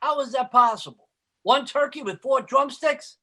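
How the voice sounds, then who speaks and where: Very high-pitched working-class buddy, piercing bright tone, dry wisecracks, mock-noble, ungrammatical, radio comedy. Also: male voice, normal speed, exaggerated voice. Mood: agreeable, dry. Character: working-class next-door neighbor and best pal, dry-witted, freeloading. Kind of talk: old-time radio comedy acting.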